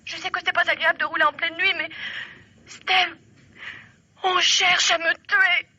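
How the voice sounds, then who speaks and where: Frightened wife, high piercing voice. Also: female voice, level tone, normal speed, pushed and bright, no echo, full character voice. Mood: anxious, pleading. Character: terrified wife and mother hiding a secret. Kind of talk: old French radio mystery drama acting.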